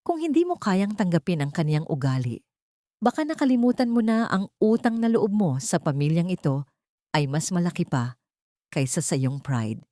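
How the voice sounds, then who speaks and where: A woman voicing a haughty, domineering older mother-in-law in an audio story, with a sharp, imperious manner. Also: lowish voice, sing-song, normal speed, dry recording, clean studio sound. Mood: stern, scornful.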